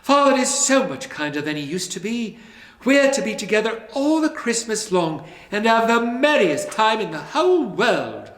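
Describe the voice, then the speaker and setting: A man reads in a small, eager girl's voice, pitched way up and bubbling with excitement. It swings lively and full of glee. The sound is roomy and echoing. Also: moderate pace. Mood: gleeful. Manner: high, breathless childish glee. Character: little girl, joyful and eager. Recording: roomy echo.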